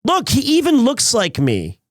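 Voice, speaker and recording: A man in his thirties, chatting casually with viewers on a live stream, slightly raspy and hoarse after being sick, rambling and excitable. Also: extremely high pitch, moderate pace, no room sound, clean studio sound. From Wales. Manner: rambling, restarts often, excitable.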